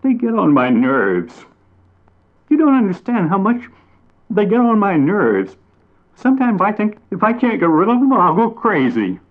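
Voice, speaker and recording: A man voicing a touchy, proud male mockingbird who talks in a high, excitable, indignant way. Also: measured pace, heavily acted. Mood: agitated. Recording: tinny phone-like audio.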